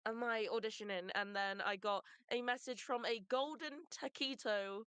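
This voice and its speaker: Giggly woman with a smooth voice. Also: a little high, normal speed, fairly bright tone, dry recording. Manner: giggly, scattered storytelling. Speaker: voice actor. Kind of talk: casual guest talk. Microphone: video call.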